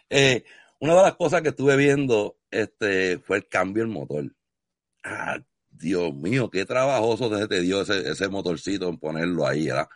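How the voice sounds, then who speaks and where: Man, Puerto Rican accent, very low voice, enthusiastic interviewer, effusive, dry recording. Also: fairly bright tone, no room sound. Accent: Puerto Rican Spanish. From Puerto Rico. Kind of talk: casual video interview.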